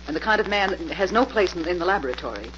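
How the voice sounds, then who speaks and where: A dull, faintly noisy vintage radio recording of a principled young lady of the laboratory. Her voice swings with dramatic feeling, earnest and reproachful, firm even through fear, in old radio-play style. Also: lowish voice, fast talker. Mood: indignant. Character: indignant, principled female laboratory assistant, frightened but firm. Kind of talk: 1940s radio drama acting.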